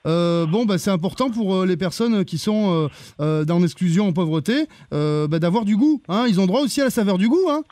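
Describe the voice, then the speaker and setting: A man playing an earnest, bumbling charity deputy director on a prank call, speaking politely and hesitantly with deadpan insistence. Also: sits high, a little fast, few pauses, no room sound, close to an everyday voice. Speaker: prank caller.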